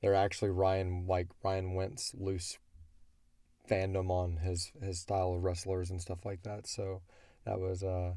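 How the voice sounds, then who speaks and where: A man with a stuffy, congested voice chatting casually and low-key as a host answering fan questions. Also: monotone, measured pace, dark tone, dry recording, clean studio sound. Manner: low-key, unenthusiastic-sounding. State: congested from a cold. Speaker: host of an audio drama Q&A show.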